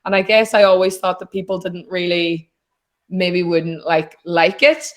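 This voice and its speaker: A young Irish woman from Donegal with a naturally deep voice, chatting as a singer-songwriter guest on a video call. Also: unhurried. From Donegal, Ireland. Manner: chatty, rambling, self-deprecating. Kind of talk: casual chat.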